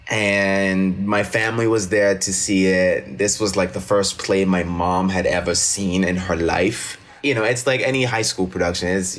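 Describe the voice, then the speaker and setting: A man from Cameroon, a non-native English speaker, telling personal stories expressively and emphatically as a teacher being interviewed. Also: sits low. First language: not English. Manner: expressive, emphatic, often checks with "right?". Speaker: teacher and actor, interview guest.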